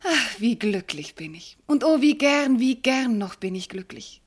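An actress playing a devoted, anguished noble wife in a classical radio drama, declaiming formal verse with fervour. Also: female voice, on the high side, expressive, a little slow. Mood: tender relief. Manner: declamatory, fervent, formal diction. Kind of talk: classical German stage verse in a radio play.

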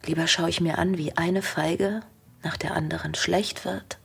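A woman's voice: on the low side, fairly even intonation, a little slow, vocal fry, breathy edge, full character voice. An actress playing a melancholy, restless young woman in a naturalistic radio drama. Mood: anguished, brooding. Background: guitar music.

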